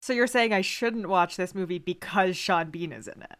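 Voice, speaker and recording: A woman's voice: fairly low pitch, moderate pace, a bit bright. A woman chatting casually as a podcast quiz contestant, joking and playfully argumentative. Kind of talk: casual comic chat.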